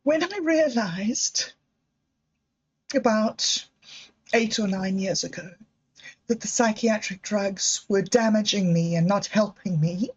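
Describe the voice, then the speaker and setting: Slow, musing woman vlogger, lo-fi sound. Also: mid-range voice, slightly rough. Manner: musing, emotional, digressive, with self-mocking laughs. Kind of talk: reflective personal vlog monologue.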